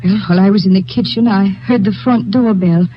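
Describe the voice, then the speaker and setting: A woman's voice: medium pitch, a little fast, natural voice. An actress playing a young Polish woman secretary, speaking in a guarded, formal manner in a vintage radio drama. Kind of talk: 1950s radio drama acting. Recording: dull lo-fi vintage radio recording.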